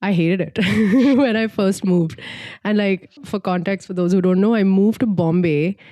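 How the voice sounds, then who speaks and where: A woman's voice: medium pitch, a little slow, warm tone, no room sound. A young woman from Singapore chatting casually and reflectively as a podcast co-host, in an informal way peppered with "like".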